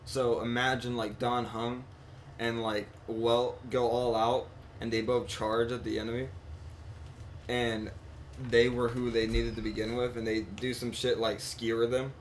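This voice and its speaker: A man's voice: medium pitch, flat delivery, measured pace, slight background noise. A man chatting casually on a live game stream, rambling and slangy as he talks through his thoughts. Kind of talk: casual live stream chat recapping and theorizing. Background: game music.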